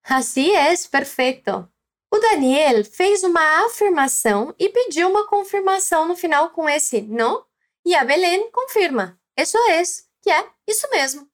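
A woman with a Brazilian Portuguese accent, teaching a language lesson slowly and clearly, prompting the listener to repeat. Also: extremely high pitch, big pitch swings. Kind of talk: scripted lesson narration.

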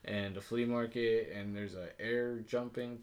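A man's voice: lowish voice, fairly even intonation, a little slow. A young man interviewing a boxing coach in casual chat, easygoing and slangy, reacting with laughs.

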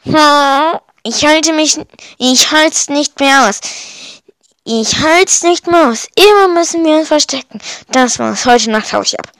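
A woman's voice: high voice, a little slow, fairly bright tone, no room sound. A woman voicing a young villager boy from a storybook, a mocked noob who earnestly and dramatically longs to be a warrior. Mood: defiant.

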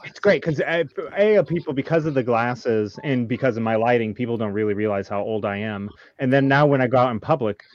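A man in his thirties hosting a casual group podcast, laid-back and rambling with many pauses and ums. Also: medium pitch, lo-fi sound, slight background noise. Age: 30s. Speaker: podcast host.

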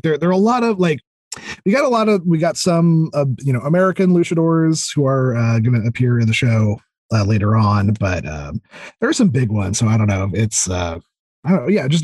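A man talking as a podcast guest in casual interview chat, enthusiastic, informal and rambling, with a slightly husky voice. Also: medium pitch, a little mellow, no room sound. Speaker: podcast guest, audio drama creator.

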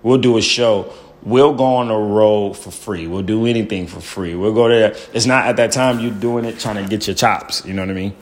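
A young man with a loud, somewhat low voice recounts his early days as a stand-up comedian. He tells it with animated energy and slips into the voices of the people he quotes. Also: normal speed, slight background noise. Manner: acts out quoted people in bursts. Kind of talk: animated storytelling interview.

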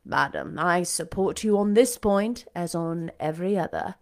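A woman reading aloud, voicing a courteous, dry-witted gentleman with a lowered, formal delivery. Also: moderate pace, creaky.

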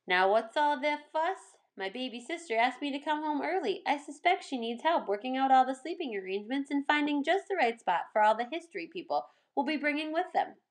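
A woman's voice: high-pitched, expressive, fairly quick, hardly any pauses, pitched above the performer's own voice. A woman voicing an old grandmother who is firm, folksy and affectionate, in a read-aloud storybook. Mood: mildly exasperated. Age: older. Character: old Southern grandmother, warm, firm, unfussed.

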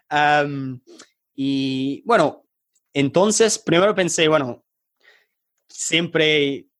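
A man's voice: fairly high pitch, extremely slow, pauses often, a bit bright, dry recording. A man from England with an English accent, a non-native speaker in a casual interview, speaking hesitantly and searching for words. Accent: English accent in Spanish. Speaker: podcast guest, online tutor and startup founder.

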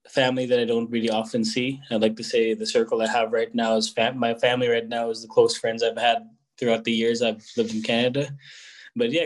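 A young man speaks as an interview guest. He is thoughtful and unhurried in his answers. He often pauses with fillers and starts his sentences over. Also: medium pitch. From Philippines. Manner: reflective, hesitant, many fillers and restarts. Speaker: guest musician.

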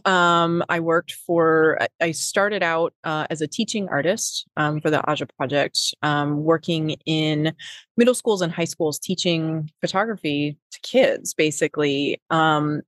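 Brief: middle-aged woman, Wisconsin accent, low voice, dry close recording, meandering interview answers. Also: unhurried, fairly bright tone, no echo. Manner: rambling, many fillers and restarts. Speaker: podcast guest, nonprofit executive. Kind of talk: casual interview talk.

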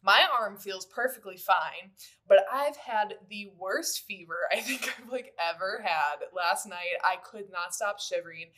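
A woman's voice: mid-range voice, lively intonation, measured pace, sharp tone. Slangy woman chatting on a podcast. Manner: slangy, sweary, emphatic. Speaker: podcast co-host. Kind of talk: casual podcast chat.

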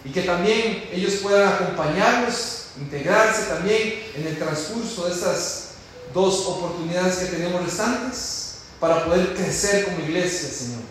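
A man's voice: high-pitched, moderate pace, a bit bright. A man with a Costa Rican accent preaching and teaching in a church, praying and asking the congregation questions with emphasis. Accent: Costa Rican Spanish. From Costa Rica. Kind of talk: church teaching talk with prayer. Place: large echoey church hall. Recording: very echoey hall with faint noise.

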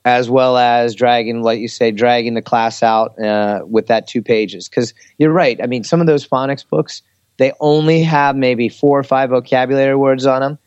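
A man chatting casually and giving teaching advice, explaining things in a hesitant, halting way. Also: mid-range voice, fairly even intonation, a little mellow, smooth, dry recording. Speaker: English teacher in Korea, talk show speaker. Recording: slightly dull, lo-fi audio.

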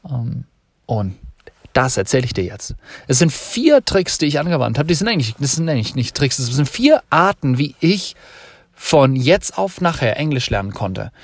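A man's voice: mid-range voice, very animated, moderate pace, no room sound. A young man hosting a podcast, talking freely and emphatically, repeating points to drive them home. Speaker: podcast host. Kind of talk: unscripted motivational monologue.

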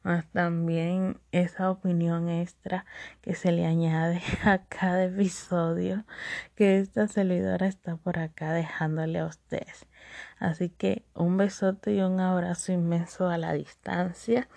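A woman's voice: lowish voice, flat delivery, measured pace, vocal fry, warm tone, no room sound. A woman with a Dominican accent hosting a solo podcast, chatty, laughing often and repeating catchphrases. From Dominican Republic. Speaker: podcast host.

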